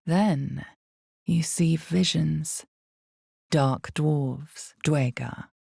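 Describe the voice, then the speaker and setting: A woman voicing the omniscient narrator of a dark fantasy game, grave and ominous, with measured delivery and dramatic pauses. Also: dry recording, studio quality, exaggerated voice. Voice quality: creaky, low, mellow. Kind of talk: dramatic scripted second-person fantasy narration.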